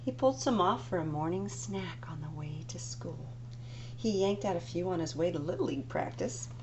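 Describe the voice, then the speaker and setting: A woman's voice: fairly low pitch, lively intonation, normal speed. A woman librarian reading a picture book aloud, dramatic and dropping to whispers for the spooky parts. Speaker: children's librarian. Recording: dull lo-fi recording with noise.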